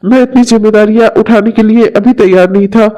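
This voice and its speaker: A man voicing a selfish young husband, high dark voice. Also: brisk, natural voice, rough recording. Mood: self-justifying. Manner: flat, dismissive, explaining himself. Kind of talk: amateur audio drama acting.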